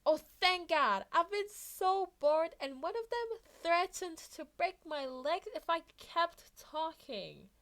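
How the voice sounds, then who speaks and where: A woman voicing an excitable, anxious, chattering teenage boy hero student in a fan fiction reading. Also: extremely high pitch, takes it slowly, few pauses, pitched above the performer's own voice, full character voice. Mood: relieved, whiny. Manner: breathless, exclaiming, rambling.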